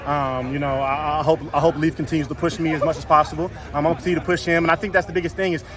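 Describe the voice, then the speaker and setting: A young man, a college offensive lineman with a loud, carrying voice, answering interview questions outdoors after practice in a rapid, repetitive way full of fillers. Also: on the high side, steady tone. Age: young adult. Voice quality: loud voice that carries. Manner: rapid, filler-heavy, repeats words. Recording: outdoor media scrum with crowd chatter.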